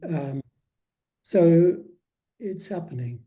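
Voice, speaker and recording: A man speaking as an interviewed author, in a reflective, conversational way with measured, hesitant phrasing. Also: fairly high pitch, lively intonation, warm tone.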